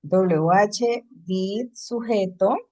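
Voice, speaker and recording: A woman's voice: mid-range voice, drawn-out words, warm tone. Woman teaching an English class. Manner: explains in Spanish, switches to English for examples. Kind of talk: online class lecture.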